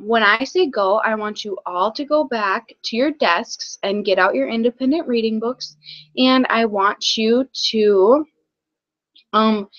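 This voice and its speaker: A woman teaches young children through a read-aloud, explaining in a halting way. Her voice is a bit high and very unhurried. The recording sounds dull and lo-fi.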